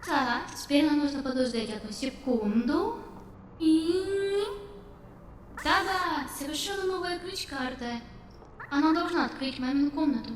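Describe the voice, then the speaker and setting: A woman voicing a young robot girl in a game, polite and wistful, speaking in a childlike, gently confiding manner. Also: high-pitched, measured pace. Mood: helpful, cheerful. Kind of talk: game character dialogue dub. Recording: roomy long reverb, distant, faint noise.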